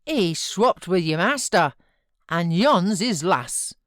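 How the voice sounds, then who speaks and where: A woman's voice: lowish voice, lively intonation, slow pace, no echo. A woman voicing a grave, blunt male servant in an audiobook, with dry, rustic, mocking phrasing. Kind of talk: audiobook character voice.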